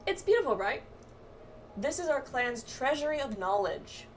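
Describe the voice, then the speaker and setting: A woman's voice: high voice, very animated, moderate pace, a little hiss or hum. A woman voicing a breezy, confident astrologer guide from a fantasy game, chatty and teasing as she reads quest dialogue aloud. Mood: proud. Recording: distant mic, music behind.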